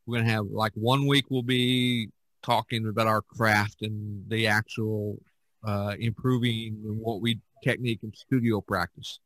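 A man hosting a podcast in casual chat, rambling and halting, often repeating his words. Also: on the low side, little pitch movement, fairly bright tone, no room sound, low-quality recording. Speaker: podcast host.

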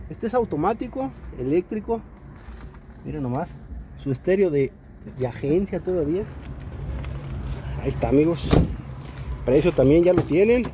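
A Mexican man showing cars at an outdoor market, talking in a lively, salesy way full of slangy diminutives. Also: sits high. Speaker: used-car market vlogger. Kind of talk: walk-around car showcase. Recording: outdoor street noise.

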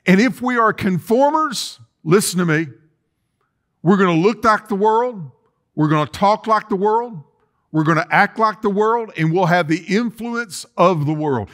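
A man's voice: high-pitched, lively intonation, unhurried, fairly bright tone. A middle-aged male pastor preaching in a church sanctuary, reading scripture and calling on the congregation to repeat after him. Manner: preaching with call-and-response, reading scripture aloud. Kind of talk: sermon. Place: church sanctuary with empty chairs.

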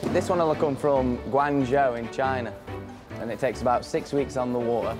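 An English man of middle pitch explains his business in a matter-of-fact way. It sounds like an interview on location. Clear music plays behind him. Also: moderate pace. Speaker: hot tub company manager. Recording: music under the voice.

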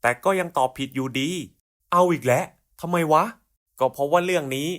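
A man's voice: fairly high pitch, fairly quick, a bit bright. A man voicing scripted cartoon puzzle skits in casual, slangy, coarse buddy-style banter.

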